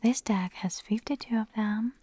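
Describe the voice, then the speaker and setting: A woman whispering in an ASMR audio, her voice hoarse and raspy as she nearly loses it, giggling and making chatty, self-deprecating asides. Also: on the high side, moderate pace, dark tone. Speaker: ASMR creator.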